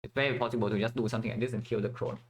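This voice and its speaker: A man's voice: medium pitch, fairly even intonation, rapid-fire, faint music behind. A man from Vietnam giving casual commentary while playing a strategy game, thinking aloud with many fillers and restarts.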